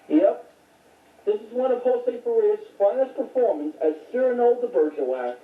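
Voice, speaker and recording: A woman giving a casual, unscripted show-and-tell of a home collection in a rambling, matter-of-fact way. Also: mid-range voice. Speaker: home video collector showing recent finds. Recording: tinny phone-like audio, echoey, distant mic.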